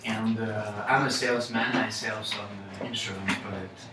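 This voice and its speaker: A man answering in a focus group, pausing often with fillers. He sits away from the mic in a very echoey room, with clear noise behind him. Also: on the low side, steady tone, far from the mic. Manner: slow, hesitant, frequent uh.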